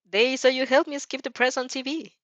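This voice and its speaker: A woman's voice: high voice, steady tone, fairly quick, fairly bright tone, no echo, pitched above the performer's own voice. A woman voicing a polite, earnest teenage schoolgirl with careful, formal phrasing in an amateur fan fiction dub.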